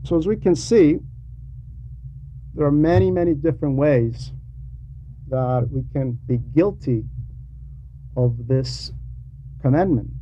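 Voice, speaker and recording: A man with a soft, mellow, slightly high voice delivers a homily. He explains and admonishes haltingly, slipping into conversational asides. The recording is muffled, with faint noise in it. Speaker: preacher reading a translated homily.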